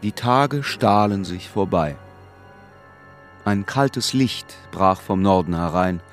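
A man's voice: low-pitched, expressive, slow, soft background music, heavily acted. A man narrating a bleak literary radio drama in a somber manner.

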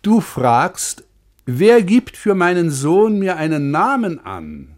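A man reciting verse, fables and old texts in a declamatory, measured 1950s German radio style. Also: sits high, very animated. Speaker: reciter in a literary radio programme.

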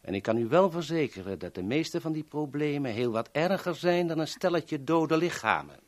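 An actor playing a courteous, coolly menacing villain in a 1970s Dutch radio drama, speaking formally and politely. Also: male voice, a little high, animated, moderate pace, lightly acted. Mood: cold menace.

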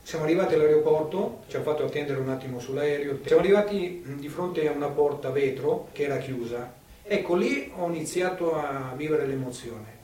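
A man's voice: a little high, brisk, warm tone. Older man reminiscing, distant and roomy. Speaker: witness giving testimony. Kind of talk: personal recollection, conversational storytelling. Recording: roomy, distant, noisy video interview sound.

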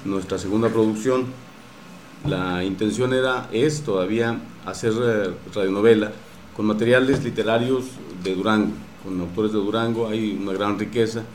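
A man with a Mexican accent explaining things in an interview, pausing often to search for words. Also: fairly low pitch, darkish tone. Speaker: radio drama producer at a university station. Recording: roomy echo with faint background noise.

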